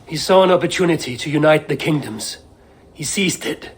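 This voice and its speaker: An actor playing a loyal, calming adviser in a video game, with a measured, formal, reasoning delivery. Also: male voice, on the high side, slight background noise, natural voice. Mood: reasoning, soothing. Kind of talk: dramatic video game cutscene acting.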